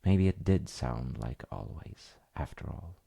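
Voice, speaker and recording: A man narrating a bedtime story in a gentle, hushed and soothing manner. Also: extremely low pitch, expressive, unhurried, vocal fry, husky. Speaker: storytelling dad character.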